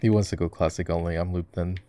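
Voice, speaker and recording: A man playing a relaxed, playful gamer boyfriend in a casual roleplay, chatting with easy slang as he games and coaches his girlfriend. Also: low voice, fast talker, a little hiss or hum, lightly acted. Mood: easygoing, engaged. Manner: laid-back, giggly, casual slangy talk. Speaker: ASMR roleplay voice actor. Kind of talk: casual ASMR roleplay, gaming chat.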